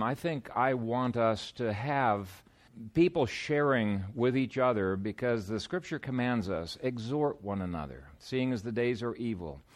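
A man's voice: medium pitch, no room sound. A man preaching an expository sermon in a conversational, explanatory way, moving between scripture reading and informal asides.

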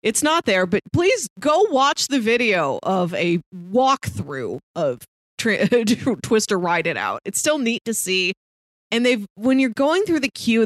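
A woman's voice: medium pitch, no echo. Chatty woman, close dry sound. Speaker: podcast co-host. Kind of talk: casual movie discussion.

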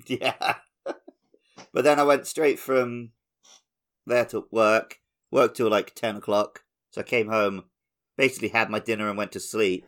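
Male podcast host with a fairly low voice, chatting and storytelling informally. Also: fairly even intonation, unhurried, long pauses, a bit bright. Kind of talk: casual chat, anecdotal storytelling.

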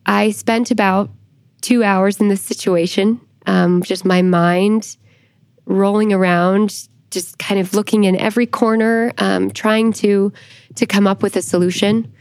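A woman with a medium-pitched voice speaks slowly and deliberately as an interview guest. She gives a reflective personal account with careful pauses, in a dry recording. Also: steady tone, darkish tone, no room sound. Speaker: guest church historian.

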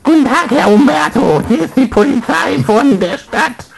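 A man voicing a high-pitched caller who giggles through shocking news in an improvised tabletop role-play. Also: sing-song, normal speed, creaky, background noise, heavily acted. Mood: giggling, mock-sorry. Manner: giggles through grim announcements.

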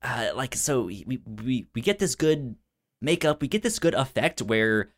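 A man's voice: mid-range voice, sing-song, a little slow. A man co-hosting a film podcast, talking casually and enthusiastically, with a stutter that repeats sounds and syllables. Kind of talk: casual movie discussion.

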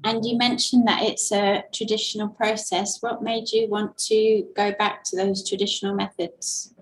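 A woman's voice: mid-range voice, level tone, moderate pace, poor audio. A woman interviewer asking questions in a friendly, enthusiastic way.

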